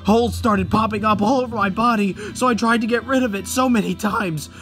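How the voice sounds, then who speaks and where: A man's voice: sits high, a little fast, a bit bright, soft background music, voice pushed up. A man voicing a terrified cursed boy in a horror comic, strained, urgent and pleading. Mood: anguished. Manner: panicked, stammering, urgent.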